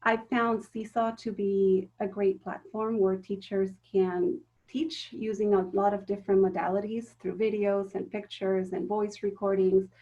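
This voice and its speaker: A woman's voice: mid-range voice, flat delivery, measured pace, warm tone, muffled recording. A woman giving a recorded talk to teachers, explaining step by step with frequent ums and self-corrections. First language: Spanish. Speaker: teacher trainer.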